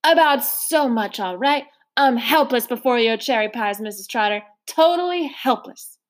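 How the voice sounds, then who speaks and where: A woman voicing a frail, gentle old man who talks in a polite, fussy, genteel way. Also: a little high, expressive, moderate pace, close to an everyday voice. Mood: courtly.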